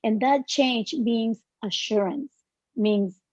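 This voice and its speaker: A woman from the Dominican Republic with a Dominican Spanish accent, a podcast guest who talks in an earnest, reflective way with many pauses and fillers. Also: fairly high pitch, no room sound, highs cut off. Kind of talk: podcast interview.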